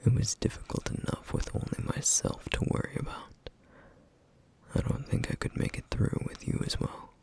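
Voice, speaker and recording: A man playing a somber, duty-bound, protective flame demon in an ASMR roleplay, speaking in a hushed, halting, formal way with a rough, breathy voice. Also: lightly acted. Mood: grave, hesitant. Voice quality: breathy, husky, rough whisper. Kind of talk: ASMR roleplay, intimate scripted monologue.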